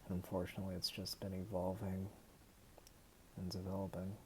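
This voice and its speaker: A young man in his twenties from the United States, talking hesitantly and softly to the camera at home late at night. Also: deep voice, completely flat delivery, slight background noise, rough recording. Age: 20s. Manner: hesitant, halting and soft-spoken. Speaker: vlogger. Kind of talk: personal talk to camera.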